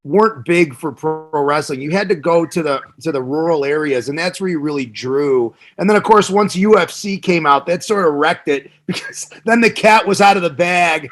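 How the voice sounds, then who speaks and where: A man's voice: on the high side, moderate pace, few pauses, fairly bright tone. A man with a Chicago accent, a former wrestler, chatting casually and laughing often in an interview. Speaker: former pro wrestler, guest.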